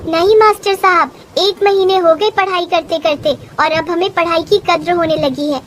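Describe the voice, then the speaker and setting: A very high-pitched young village schoolchild, played by a woman, speaks with earnest respect and determination. It is cartoon story dialogue, with faint noise behind the voice. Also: steady tone, moderate pace, fairly bright tone. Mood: determined.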